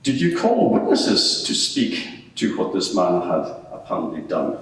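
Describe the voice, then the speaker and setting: A man's voice: sits low, huge pitch swings, a little slow, heavily acted. A man playing a formal, probing lawyer who puts terse questions to a witness at a dramatised inquiry. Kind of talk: dramatised courtroom examination. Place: large courtroom before a live audience. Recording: echoey hall, distant mic, background noise.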